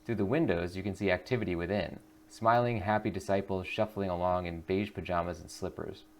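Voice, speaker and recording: Low-voiced man doing game commentary. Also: fairly even intonation, fairly quick, slight background noise. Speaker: gaming video host. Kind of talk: casual game commentary with read-aloud narration.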